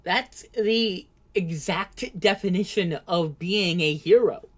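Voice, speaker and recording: A man's voice: high voice, slow pace, fairly bright tone, full character voice. An actor playing an earnest, slightly awkward young male superhero in an audio drama, hesitant and sincere, sometimes stammering. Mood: awkward, defensive.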